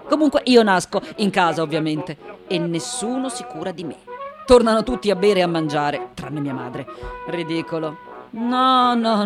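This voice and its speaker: Radio drama: fiery old woman sculptor, slow, halting, dramatic, self-questioning, medium pitch, over music. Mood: wry, bitter. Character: fiery, eccentric 98-year-old French-born woman sculptor. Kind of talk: theatrical radio monologue.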